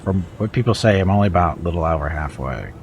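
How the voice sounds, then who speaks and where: Low-voiced man streaming with a head cold. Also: lively intonation, fast talker, a little mellow, slight background noise. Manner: chatty, wry, talks to viewers in chat. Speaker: streamer playing a video game. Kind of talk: casual livestream chat and reading game text aloud.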